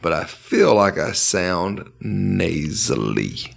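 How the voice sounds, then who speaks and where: A man's voice: low-pitched, sing-song, takes it slowly, vocal fry. A man with a stuffy nose from allergies, co-hosting a casual podcast with dry, laid-back humor. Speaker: podcast co-host. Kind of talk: casual chat.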